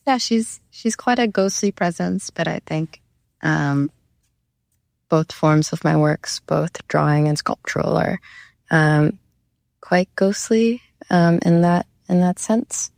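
A young woman from Canada whose first language is Cantonese, talking about her art in a hesitant, reflective way with many pauses and fillers. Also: on the low side, creaky, darkish tone, no room sound, studio quality. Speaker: artist. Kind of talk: casual conversation.

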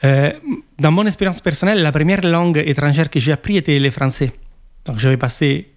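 A man from Italy, Italian his first language, answering interview questions in a thoughtful way with many pauses and restarts. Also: a little high. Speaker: author.

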